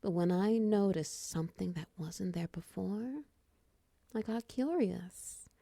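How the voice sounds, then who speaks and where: Woman acting a breathy, soothing spider woman. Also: mid-range voice, takes it slowly, no echo. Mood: hushed storytelling. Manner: soft, soothing, breathy murmur, teasing endearments. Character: friendly, flirty, lonely drider spider woman nursing stranger. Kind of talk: intimate audio roleplay, ASMR-like comfort.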